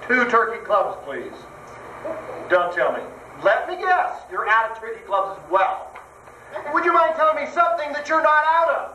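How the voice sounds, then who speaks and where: A man's voice: very high voice, sing-song, normal speed, bright, forceful tone, a little hiss or hum, full character voice. Man playing an exasperated, weary husband. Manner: exasperated, pleading. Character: weary, hungry husband on a road trip. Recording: echoey, distant amateur recording.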